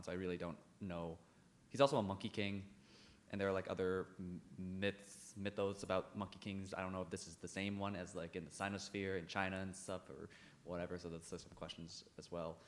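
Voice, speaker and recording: A man's voice: sits low, steady tone, fairly quick, a little hiss or hum. A man speaking in an unsure, rambling way, full of likes, in a casual group discussion. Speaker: actor.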